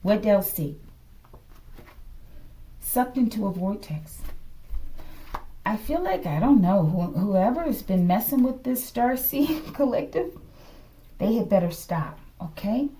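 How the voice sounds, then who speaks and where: A woman's voice: mid-range voice, lively intonation, slow, long pauses, mellow, distant mic, slight background noise, rough recording. An American woman giving a casual, improvised tarot reading to viewers in a musing way, often checking in with "okay". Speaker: tarot reader.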